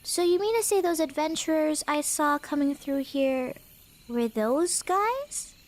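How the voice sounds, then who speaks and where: Woman playing a high-voiced, teasing Cheshire cat girl. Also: expressive, unhurried. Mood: curious, surprised.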